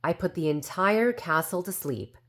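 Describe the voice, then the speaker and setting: A woman's voice: fairly low pitch, lively intonation, normal speed, fairly bright tone. A woman voicing a prim, know-it-all younger sister fairy, telling a storybook tale in a smug, critical, gossipy way. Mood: suspicious, exasperated. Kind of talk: storybook character narration.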